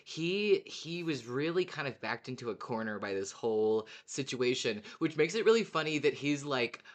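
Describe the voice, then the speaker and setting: A podcast host in a casual fan discussion, talking in an animated way with plenty of swearing. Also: mid-range voice, normal speed.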